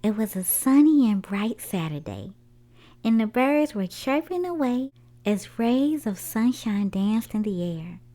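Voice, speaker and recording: A woman reading a children's picture book aloud in an expressive storytime style, putting questions to young listeners. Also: a little high, measured pace.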